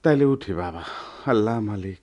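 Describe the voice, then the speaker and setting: Old village father male actor, radio drama, rural eastern Bengali dialect, very lively intonation, plaintive, deferential, worried. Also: lowish voice, moderate pace, dull audio, close to an everyday voice. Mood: worried, pleading. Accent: rural eastern Bengali dialect, Bangladesh. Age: older. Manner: plaintive, folksy.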